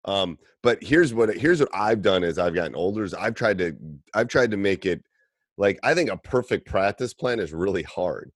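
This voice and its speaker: A man with a very deep voice, a basketball coach, chatting casually and relaxed, with frequent "like". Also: moderate pace, no echo, studio quality. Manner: relaxed, says "like" often.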